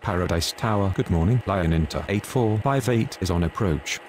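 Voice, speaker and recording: A man plays an airport radio operator in a simulator game with a very deep voice. He is businesslike and clipped, delivering procedural calls over faint background noise. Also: moderate pace, darkish tone, natural voice. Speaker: pilot and air traffic controller radio voice. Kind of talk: scripted aviation radio phraseology.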